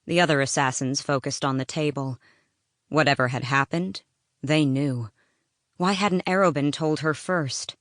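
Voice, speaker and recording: A woman's voice: deep voice, a little slow, a bit bright, no echo, studio quality. A woman narrating an audiobook, reading scripted fiction in a dramatic storytelling style.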